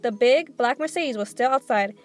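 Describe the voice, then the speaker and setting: A woman narrating a children's book aloud in an expressive storytelling style. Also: a little high, normal speed, faint music behind.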